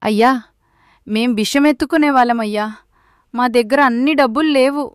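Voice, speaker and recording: A woman's voice: a little high, a little fast, smooth, dry recording, clean studio sound, lightly acted. A woman playing a poor, devoted beggar mother and mildly scolding wife in a dramatised moral story. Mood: pleading.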